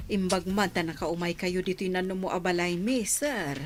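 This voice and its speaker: An actress with an Ilocano accent playing a young woman's older mother, stern but kind, with a husky voice. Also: lowish voice, normal speed, a little hiss or hum, lightly acted. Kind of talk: radio drama acting.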